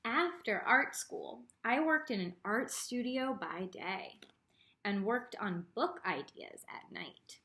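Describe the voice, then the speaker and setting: Female art teacher for a children's read-aloud: fairly high voice, expressive storytelling, speaks straight to the kids. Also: takes it slowly. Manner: expressive reading, addresses young listeners directly. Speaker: art teacher reading a picture book aloud.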